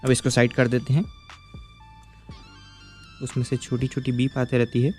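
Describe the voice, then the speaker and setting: Guy with a soft warm voice walking you through a gadget review, chill and patient, faint music behind. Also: mid-range voice, completely flat delivery, moderate pace. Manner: explains patiently, checks in with the viewer. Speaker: tech product reviewer. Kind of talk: casual step-by-step product demo.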